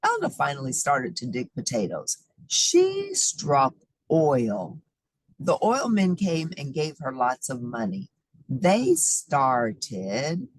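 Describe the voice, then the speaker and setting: A woman's voice: low voice, breathy edge, no echo. A woman reading a children's picture book aloud with expression and playful asides. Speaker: storybook reader.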